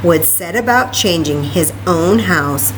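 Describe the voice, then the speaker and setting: A woman hosting a children's library story time, reading a picture book aloud with animated, exclamatory asides straight to her young listeners. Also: lowish voice, slow, noisy background.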